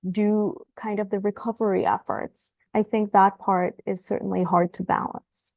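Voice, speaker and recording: A woman's voice: mid-range voice, flat delivery, normal speed, creaky, a little mellow, no room sound, highs cut off. A woman from Ukraine, a guest psychologist in a podcast interview, answering thoughtfully and often repeating words as she starts her sentences.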